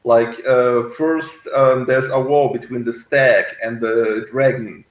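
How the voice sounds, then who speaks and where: A man's voice: mid-range voice, steady tone, slow pace, roomy sound. A man in a casual review discussion, speaking hesitantly and often searching for his words. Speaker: guest reviewer on a fan show.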